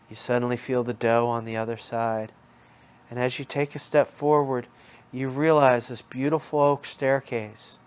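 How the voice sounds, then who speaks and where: A man with a mid-pitched voice leads a guided imagery session. He narrates like a hypnosis practitioner, soothing the listener. Phrases come back again and again in a hypnotic pattern. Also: clear, resonant tone. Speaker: guided relaxation storyteller.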